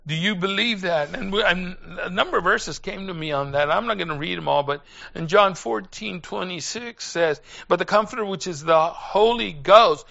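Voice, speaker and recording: Bright, creaky-voiced older male preacher. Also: high voice, lo-fi sound. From Puerto Rico. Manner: earnest, halting, with pauses and repeated phrases. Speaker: preacher and former missionary. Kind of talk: personal testimony in a sermon.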